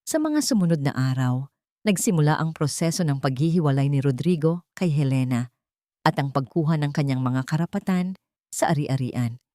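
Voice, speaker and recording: A woman's voice: low-pitched, lively intonation, moderate pace, dark tone, no echo, clean studio sound. A woman reading a scripted first-person dramatic story as its narrator.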